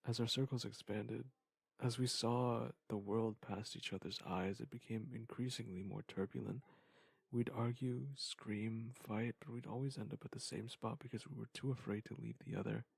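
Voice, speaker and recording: A man acting a wistful former lover in an intimate scripted monologue, speaking in a halting, reflective way with a husky, gravelly voice. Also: on the low side, steady tone, no room sound. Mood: sad, reflective.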